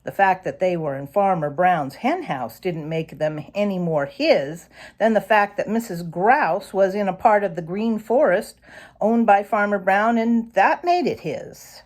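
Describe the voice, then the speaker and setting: Slow, expressive woman reading a children's story. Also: fairly low pitch. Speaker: storybook reader.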